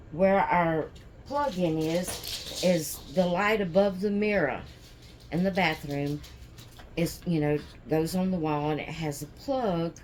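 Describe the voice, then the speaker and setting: A woman chatting casually in a home vlog, hoarse from sneezing, rambling with many fillers and restarts. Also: on the low side, darkish tone, a little hiss or hum. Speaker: doll channel vlogger. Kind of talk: casual chat. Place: at home indoors.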